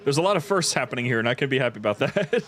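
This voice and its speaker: A man's voice: medium pitch, expressive, rapid-fire, fairly bright tone. Easygoing man hosting a game stream. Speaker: gaming stream host. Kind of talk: casual gaming stream chat.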